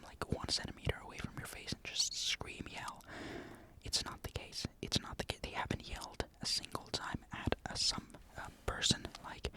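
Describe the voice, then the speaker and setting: Whispering young man. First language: Finnish. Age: young adult. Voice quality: breathy, unvoiced whisper. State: sore throat. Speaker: military conscript recounting service. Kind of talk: whispered casual monologue, ASMR-like.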